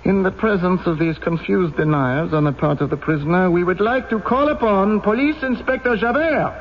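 A commanding judge in an old radio play. His voice is pitched high for a man and declaims with formal, official order. The sound is dull and band-limited. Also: moderate pace, a little hiss or hum. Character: formal, commanding male judge presiding in court. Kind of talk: old-time radio drama acting.

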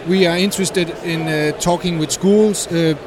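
Hesitant high-voiced man in a noisy hall. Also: fairly even intonation. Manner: hesitant, many filler pauses, non-native phrasing. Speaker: guest, startup founder. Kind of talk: interview at a conference. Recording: noisy conference floor.

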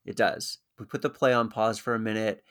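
A man co-hosting a casual recap podcast, summarizing plot points in an even, matter-of-fact way. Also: lowish voice, a little fast. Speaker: podcast co-host.

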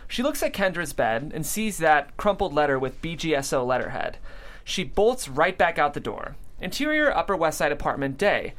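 Female narrator for screenplay directions, lively intonation, comedy table read. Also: low-pitched. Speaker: stage directions reader.

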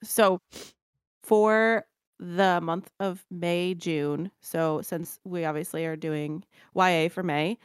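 A woman's voice: lowish voice, fairly even intonation, takes it slowly, smooth, no echo, clean studio sound. A young woman co-hosting a book podcast in casual chat, swearing freely and talking over her co-host.

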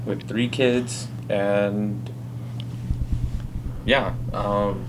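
A man in his thirties, a guest on a casual podcast, answering quietly and hesitantly with lots of "um". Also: fairly low pitch, steady tone, extremely slow, vocal fry, a little hiss or hum, poor audio. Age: 30s.

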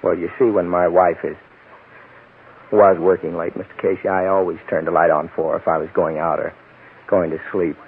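An actor playing a weary, grieving husband who speaks haltingly in an old-time radio crime drama. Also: male voice, low-pitched, little pitch movement, slight background noise. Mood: subdued, guarded. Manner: halting, stammering. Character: grieving, weary husband whose wife was murdered. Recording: muffled, narrow-band old radio recording.

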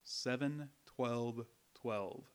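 Easygoing male office colleague, mid-pitched. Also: drawn-out words, clean tone. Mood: casual, teasing. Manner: casual, offhand. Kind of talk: old-time radio drama acting.

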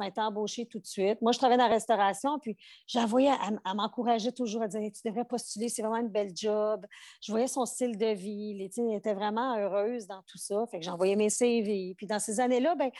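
A woman's voice: medium pitch, darkish tone, no room sound, studio quality. A woman in her forties with a Québécois French accent, a flight attendant chatting in an interview, full of fillers and restarts. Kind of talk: casual interview chat.

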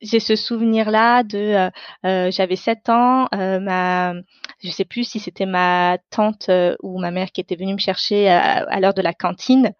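A woman's voice: medium pitch, steady tone, unhurried, no echo, muffled recording. A woman whose first language is Tamil, reflecting on her own life in an unscripted interview, searching for her words as she goes. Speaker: interview guest.

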